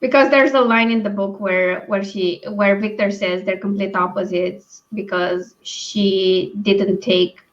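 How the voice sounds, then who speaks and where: A woman hosting a casual book discussion over a video call, thinking aloud in halting phrases. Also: medium pitch, fairly even intonation. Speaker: book read-along live stream host. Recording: dull, lo-fi stream audio.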